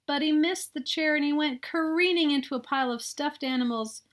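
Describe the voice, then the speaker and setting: High-voiced woman reading to children. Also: brisk, slight background noise. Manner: expressive, addresses young listeners. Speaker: read-aloud storyteller. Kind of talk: children's picture-book narration with chatty asides.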